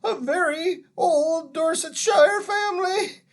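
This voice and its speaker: A woman voicing an old, cowardly gentleman who wails in a high, trembling, tearful voice. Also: slow pace, full character voice. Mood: panicked wailing.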